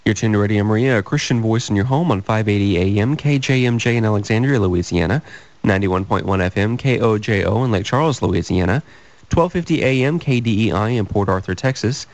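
Low-voiced man reading station identification. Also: brisk, darkish tone, soft background music, rough recording. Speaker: radio station announcer.

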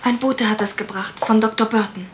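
An actress playing a timid, obedient young housemaid who speaks hesitantly in a German radio crime drama. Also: female voice, medium pitch, steady tone, brisk, a little hiss or hum, natural voice. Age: young adult.

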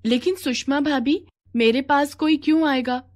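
Eager, soft-hearted henna girl for animated moral story, female performer; high voice. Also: normal speed, natural voice. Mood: eager, modest. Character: eager, tender-hearted young daughter who paints henna.

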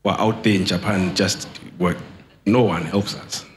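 A man's voice: low voice, fairly even intonation, slight background noise. A man from Zimbabwe speaking hesitantly into a handheld mic in a large church hall. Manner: hesitant, halting. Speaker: congregant.

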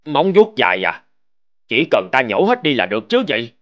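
A proud, animated lion suitor. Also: male voice, medium pitch, normal speed, lo-fi sound. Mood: eager, confident. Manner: boastful, demanding. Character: proud, lovestruck, gullible male lion suitor. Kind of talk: cartoon fable acting.

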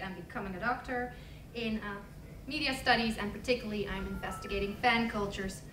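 A young woman moderating a panel in an upbeat, chatty, informal way. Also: mid-range voice. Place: conference room with audience, table microphone. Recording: echoey conference room, distant mic, noisy.

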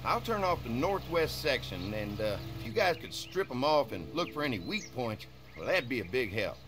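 Casting: male actor for friendly rural farmer in a game, plainspoken, very lively intonation, music underneath. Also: medium pitch, moderate pace, lightly acted. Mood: helpful. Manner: folksy, plainspoken. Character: friendly, practical young rural dairy farmer. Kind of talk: video game voice acting, conversational. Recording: game music bed behind voice.

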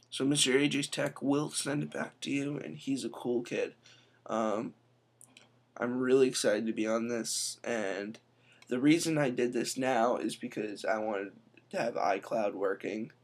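Chatty young man on a webcam. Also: mid-range voice. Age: young adult. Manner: chatty, quick asides, says "I'm telling you". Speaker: tech vlogger. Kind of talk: casual screen-recorded tutorial. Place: indoor room.

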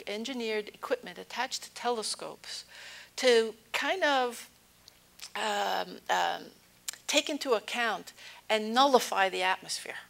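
A woman's voice: mid-range voice, fairly bright tone. A woman in her sixties giving an informal public science lecture, explaining things conversationally with plain-spoken asides. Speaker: astronomy lecturer. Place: indoor stage at a podium. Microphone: lapel mic.

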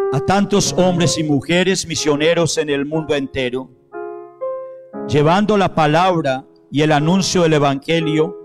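Solemn priest over soft piano. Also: male voice, on the high side. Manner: slow, measured, solemn phrasing. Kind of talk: liturgical prayer and renewal of baptismal promises. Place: church sanctuary, at the altar. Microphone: handheld microphone. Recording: piano music under the voice. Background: soft piano music.

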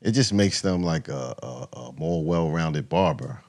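Very deep-voiced American male podcast host. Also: lively intonation, a little slow, creaky. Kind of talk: casual interview chat. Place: small podcast studio with acoustic foam. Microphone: close studio mic on boom arm.

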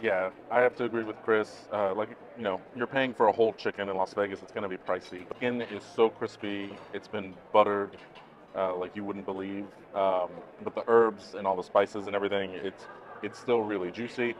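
Chatty lower-voiced man with twang. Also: fairly even intonation, brisk, muffled recording, slight background noise. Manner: chatty, addresses viewers as y'all. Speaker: food vlogger co-host. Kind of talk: casual restaurant review. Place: busy indoor restaurant patio.

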